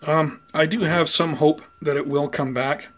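A man giving an unhurried, conversational show-and-tell as a hobby vlogger, talking casually indoors. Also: fairly high pitch, fairly even intonation, a bit bright. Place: indoors at home by plant shelves. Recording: clear background noise, room ambience.

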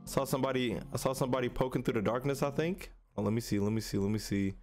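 A man's voice: mid-range voice, fast, a little mellow. A young man records casual reaction commentary indoors. His speech is slangy and he often repeats a phrase several times in a burst. Faint music from what he is watching plays behind him. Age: young adult. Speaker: reaction video host. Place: small room indoors at a streaming setup. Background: music from the trailers he watches.

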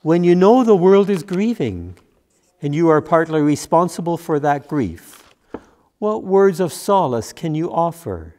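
Older man playing grieving biblical disciple, reflective and ashamed, slow, highish pitch with expressive swings. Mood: sorrowful, ashamed. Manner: slow, reflective, questioning monologue. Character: grieving, guilt-ridden male disciple of Jesus. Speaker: minister. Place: church sanctuary, at lectern. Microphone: gooseneck lectern microphone.

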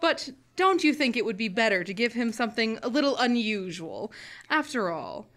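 A young woman playing a sweet, gently meddling older mother in old-time radio comedy. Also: a little high, big pitch swings, normal speed. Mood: gently advising. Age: teens or young adult. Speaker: high school student actor. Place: school library room. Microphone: stand microphone.

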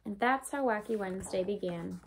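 A woman in her twenties reading a picture book aloud to young children, expressive and speaking to them directly. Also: mid-range voice, a little fast, slight background noise, rough recording. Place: small room indoors.

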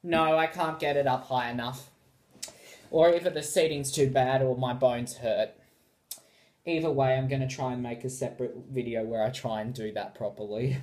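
A man's voice: medium pitch, fairly even intonation, moderate pace, darkish tone. A man in his twenties with an Australian accent, talking casually and theatrically in a reaction vlog, recorded indoors on a device mic. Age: 20s. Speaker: YouTube reactor. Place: small bright room indoors.

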